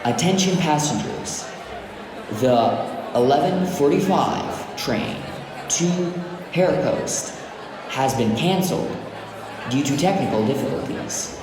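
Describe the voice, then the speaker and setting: A man reading scripted public address announcements in a formal, deliberate, carefully enunciated way. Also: fairly high pitch, lively intonation. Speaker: English teacher voicing train station announcements. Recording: very echoey station hall with crowd noise. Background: busy station crowd ambience.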